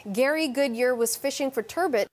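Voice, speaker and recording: Lady radio news reader, kinda high voice, reading carefully. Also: fairly quick. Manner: reads carefully, somewhat blindly. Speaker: radio newscaster.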